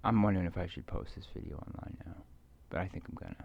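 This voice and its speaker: A man rambling casually to himself in a halting, hesitant way, repeating words and trailing off mid-thought as he muses. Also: low-pitched, creaky, darkish tone. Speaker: video creator. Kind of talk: rambling casual solo monologue. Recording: dull, muffled lo-fi recording.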